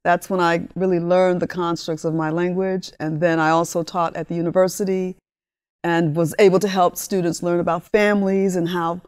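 A woman in her sixties, originally from Honduras with Spanish as her native language, speaks in a slightly low voice. She recounts her life with animated warmth, often breaking into laughter. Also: moderate pace, clear, resonant tone. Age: 60s. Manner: warm, animated storytelling with laughs. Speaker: guest, ministry leader. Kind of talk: interview talk.

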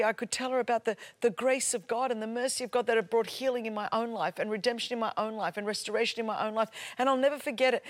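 A woman, a native Greek speaker, preaching and telling a story with mounting passion and emphatic repetition. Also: a little high. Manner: impassioned storytelling, builds to loud emphatic repetition. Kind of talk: sermon.

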